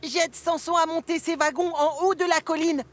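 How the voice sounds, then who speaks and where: A woman's voice: extremely high pitch, steady tone, normal speed. A woman voicing a cheeky, helpful young male tank engine in a children's cartoon dub, with a teasing, eager manner.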